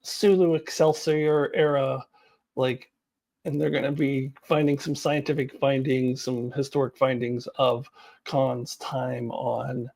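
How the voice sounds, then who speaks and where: A woman giving casual, opinionated commentary to viewers as a video host, in a blunt, informal and halting way. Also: rumbling low, steady tone, gravelly, darkish tone.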